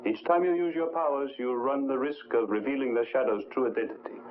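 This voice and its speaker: An actor playing a grave, formal mentor who cautions a hero in a 1950s film drama. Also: male voice, medium pitch, animated, fairly quick, narrow, tinny audio, lightly acted. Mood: stern warning. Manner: formal, admonishing. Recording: thin old film soundtrack, faint noise.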